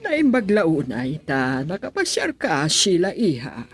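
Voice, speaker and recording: A woman's voice: sits low, huge pitch swings, measured pace, faint music behind, full character voice. A woman playing a friendly, hospitable middle-aged aunt in a radio drama, chatting away. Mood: welcoming.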